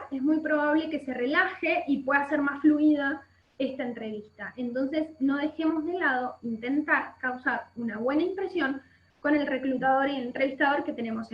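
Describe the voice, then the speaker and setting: A woman's voice: high-pitched, normal speed. A woman with an Argentine accent giving an explanatory online workshop, often checking in with short questions, over a video call. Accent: Argentine Spanish. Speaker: workshop instructor. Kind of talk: instructional webinar talk. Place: indoor room by a window. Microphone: webcam video call. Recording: muffled, echoey video-call audio.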